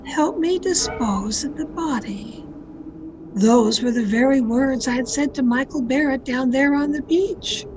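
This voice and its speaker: An actress playing a jealous, murderous mystery novelist in a radio drama, with deliberate melodramatic delivery over a home call recording. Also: female voice, sits high, noisy background. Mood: shocked, wary. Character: jealous, murderous woman mystery novelist confessing her crimes. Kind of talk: dramatic first-person radio mystery narration. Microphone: video call.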